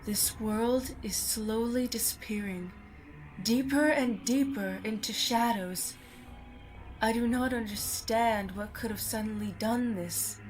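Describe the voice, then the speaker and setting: A woman's voice: a little high, slow, darkish tone, faint music behind, low-quality recording. A woman voicing a troubled, solemn princess in an amateur fantasy machinima, with formal, grave delivery.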